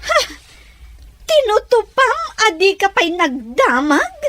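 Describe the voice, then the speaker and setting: A high, very animated voice from a woman acting as a jealous, wronged woman in an audio drama. She is angry and accusing, breaking into sharp outbursts as her pitch leaps about. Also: slow pace, full character voice. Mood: jealous rage. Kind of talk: dramatized Ilocano audio story.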